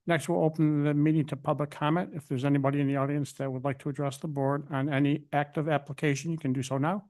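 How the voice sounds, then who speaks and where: A man with a somewhat higher voice chairs a small-town planning board meeting. He keeps things moving in a brisk, procedural manner, calling for motions and votes. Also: flat delivery, darkish tone.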